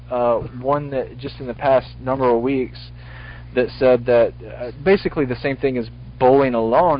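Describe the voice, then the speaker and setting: A man hosting a casual call-in radio show, rambling and full-voiced, with many restarts. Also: medium pitch. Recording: lo-fi radio stream with faint hiss.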